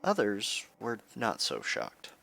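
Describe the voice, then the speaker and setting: A man's voice: lowish voice, wildly swooping pitch, takes it slowly, creaky, a bit bright, a little hiss or hum, heavily acted. A man playing an earnest news anchor in a fantasy radio news parody, with a polished, presentational delivery. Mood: earnest newsreading.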